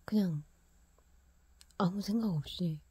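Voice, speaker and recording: A woman whispering a personal story into a close microphone, laughing quietly now and then. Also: on the low side, takes it slowly, slightly rough, dry recording.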